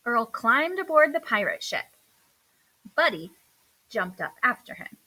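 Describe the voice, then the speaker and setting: A woman narrates a children's storybook in a high, bright voice. Her delivery is expressive and deliberate, moving at a slow pace so each sentence is easy to follow. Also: poor audio. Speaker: storybook reader. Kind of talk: scripted children's story narration read aloud.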